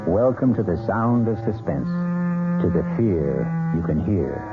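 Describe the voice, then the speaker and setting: A man hosting and narrating a suspense radio show with deliberate, theatrical delivery. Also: low-pitched, lively intonation. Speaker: host and narrator. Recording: phone-like old radio broadcast, loud music.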